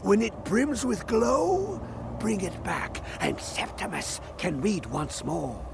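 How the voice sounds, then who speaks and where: Crazed old hermit scholar, game character, high swooping voice, theatrical riddler, halting delivery. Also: male voice, big pitch swings, creaky, noisy background, full character voice. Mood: cryptic, instructing. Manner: theatrical, halting, refers to self in third person. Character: mad, eccentric old male scholar, riddling and gleeful. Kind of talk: video game character acting.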